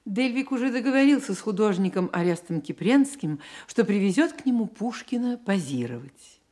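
A woman narrating a scripted literary radio play with measured, expressive storytelling. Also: medium pitch.

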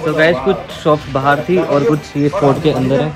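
Young man vlogging over loud music. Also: on the high side, steady tone, moderate pace, poor audio. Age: young adult. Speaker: travel vlogger. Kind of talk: casual vlog narration to viewers, Hindi mixed with English. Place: outdoors at a stream and rocky gorge.

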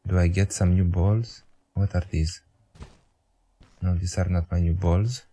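A man's voice: low-pitched, steady tone, measured pace, mellow, breathy edge. A young man whose first language is Romanian, casually commenting on a game, thinking aloud, joking about his own intellect and pleading with the game. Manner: self-mocking, talks to the game, thinks aloud. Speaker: gameplay commentator.

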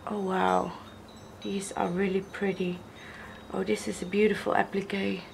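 A young woman, hoarse from a cold, gushing slowly over craft gifts while filming at a table with the camera mic. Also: mid-range voice, level tone, slight background noise. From Netherlands. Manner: gushing, slow, admiring, repeats praise. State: hoarse from illness. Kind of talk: casual unboxing vlog. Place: indoors at a wooden table.

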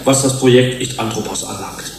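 An actor playing a shrewd, combative scientific manager who addresses a tribunal in a pointed, oratorical way in a German radio drama. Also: male voice, mid-range voice, steady tone, brisk, natural voice. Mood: self-assured. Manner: oratorical, pointed, self-assured. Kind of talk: formal courtroom speech in German radio drama. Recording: roomy hall echo, faint hiss.

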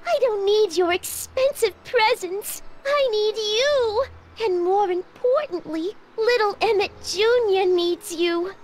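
A woman's voice: very high-pitched, takes it slowly, slight background noise. A woman playing a melodramatic showgirl as a scorned lover in a video game, theatrical and declamatory. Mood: wounded, accusing. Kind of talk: animated video game acting.

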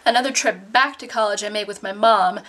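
A woman in her twenties with a Canadian accent, telling stories casually to camera in an animated, self-deprecating, sardonic manner. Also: mid-range voice, a little fast. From Nova Scotia, Canada. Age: 20s. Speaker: vlogger. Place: small bedroom, indoors.

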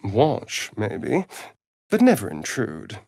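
A man with an English accent playing a sardonic, vain elven vampire rogue in a video game, arch, mocking and theatrical, with a breathy voice. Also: fairly low pitch, wildly swooping pitch, slow pace, darkish tone, dry recording, clean studio sound, heavily acted. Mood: low, cool. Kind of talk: video game character voice lines, theatrical delivery.

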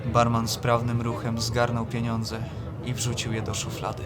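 Radio drama lead: male actor as anxious, introspective young seminarian, even mid-pitched delivery over music. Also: normal speed, lightly acted. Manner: literary, introspective. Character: underage seminary student, anxious, drinking to escape. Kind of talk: first-person radio drama narration and acting. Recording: music under the voice.

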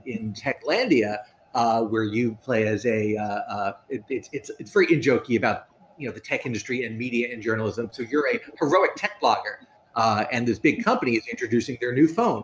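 A middle-aged man talking casually on a panel, often halting and repeating his words. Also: mid-range voice, fairly bright tone. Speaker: panelist, tech reporter and game designer. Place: indoor room at home. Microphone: video call. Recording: noisy home video-call audio.

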